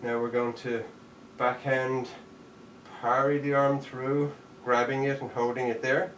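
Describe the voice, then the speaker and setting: A man teaching a live online jujitsu class, calling out each step as he demonstrates it. His voice sits at an ordinary middle pitch and bounces around an echoey room. The camera mic is a few steps away, and faint background noise sits under him. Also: little pitch movement, unhurried, fairly bright tone, far from the mic. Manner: demonstrating moves while talking, counting out steps. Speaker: jujitsu instructor.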